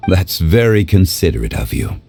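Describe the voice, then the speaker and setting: A man's voice: deep voice, very animated, moderate pace, vocal fry, warm tone, faint music behind, heavily acted. A man playing a refined, courteous, composed scholarly consultant in a video game, speaking formally and with sophistication. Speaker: voice actor. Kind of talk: video game dialogue acting.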